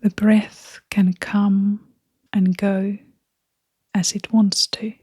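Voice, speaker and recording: Very slow, hushed woman guiding meditation. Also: mid-range voice, flat delivery, dry recording, clean studio sound. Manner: gentle, hushed, breathy, with long pauses between short phrases. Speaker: meditation guide. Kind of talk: guided meditation, soft-spoken.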